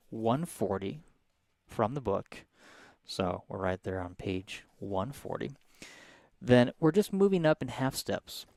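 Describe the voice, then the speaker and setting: Soft-voiced guy teaching piano live, friendly, mid pitch, dry close mic. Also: dry recording. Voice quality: soft-spoken. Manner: friendly, explaining step by step. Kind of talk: live-streamed piano lesson.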